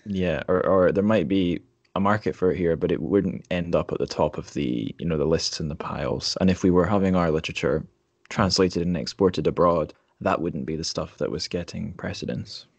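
Low-voiced man hosting a podcast. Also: normal speed, creaky, no room sound. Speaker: podcast host. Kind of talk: casual interview chat.

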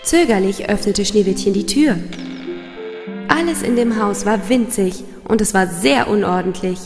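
A woman's voice: medium pitch, moderate pace. Female storyteller for children's fairy-tale narration, mid-range, long reverb over a music bed.

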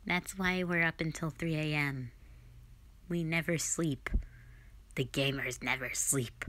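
A young woman playing a sulky, dramatic tsundere gamer girlfriend in an audio roleplay, with a whiny, pouty, drawn-out delivery. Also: fairly low pitch, a bit bright. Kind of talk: roleplay audio drama, intimate one-sided dialogue.

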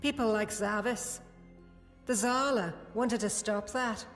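A woman's voice: fairly high pitch, slow pace. A woman playing a stern female prison security guard who scolds and explains, with music behind. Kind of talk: fan audio drama acting. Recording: long reverb with music behind.

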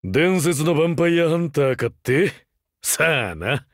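Rugged older game hero, vampire hunter turned teacher, laconic, fatherly, widely swinging pitch, dry studio sound. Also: lively intonation, moderate pace, dry recording. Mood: wry, evasive. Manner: wry, laconic, gruff but fatherly. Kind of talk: Japanese mobile game character voice lines.

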